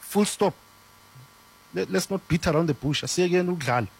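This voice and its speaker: A man in his thirties with a high, husky voice talks through spiritual ideas. He has an earnest, explanatory way of speaking and keeps posing questions to his listener. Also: fairly quick. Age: 30s. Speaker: spiritual teacher. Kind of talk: conversational teaching.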